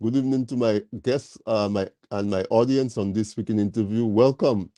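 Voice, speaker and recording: A man hosting a live talk program, slightly nasal from a cold, speaking slowly with many fillers as he welcomes his audience. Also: on the low side, fairly even intonation, no echo, clean studio sound. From Caribbean. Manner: slow, warm welcoming address with many fillers. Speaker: talk show host.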